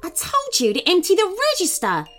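A woman's voice: medium pitch, sing-song, moderate pace, soft background music, close to an everyday voice. A woman voicing a mean, bossy, hot-tempered woman robber in a storybook, snapping shrilly and scornfully. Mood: threatening.

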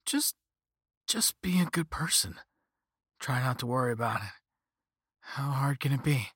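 A man's voice: mid-range voice, expressive, unhurried, fairly bright tone, breathy edge, no room sound, clean studio sound, heavily acted. A man voicing a flippant, easygoing young rich slacker in a story, with a casual slangy drawl and sarcastic half-apologies. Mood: smug, placating. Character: flippant, laid-back young rich slacker on a phone call.

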